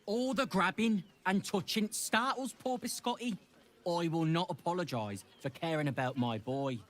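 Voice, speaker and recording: A man's voice: high-pitched, moderate pace, fairly bright tone. A man voicing a fussy, self-satisfied farmer who dotes on his dog in a video game. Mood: doting, defensive. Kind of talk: video game character dialogue. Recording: music behind the voice.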